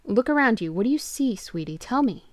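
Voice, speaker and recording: A woman's voice: mid-range voice, normal speed, a little mellow, dry recording, lightly acted. A woman voicing a frightened husband and father who urgently pleads down a phone line. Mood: pleading.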